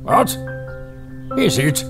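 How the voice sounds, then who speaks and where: An actor playing a stubborn, grumbling older retired historian, who sighs a lot and talks slowly. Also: male voice. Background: music.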